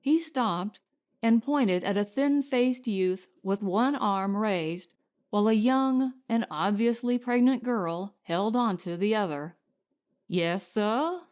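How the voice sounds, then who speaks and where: A woman narrating a scripted story as an audiobook reader, in a measured, deliberate manner. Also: mid-range voice, darkish tone.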